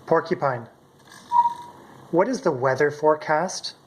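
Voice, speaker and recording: A man's voice: fairly high pitch, unhurried, poor audio. A middle-aged man casually narrating a demonstration as a hobbyist maker. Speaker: maker presenting his homemade robot.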